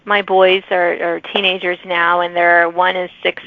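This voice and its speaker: A woman's voice: on the low side, flat delivery, measured pace, no echo. Chatty middle-aged woman host, dry slightly dull sound. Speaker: podcast host. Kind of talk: casual interview chat.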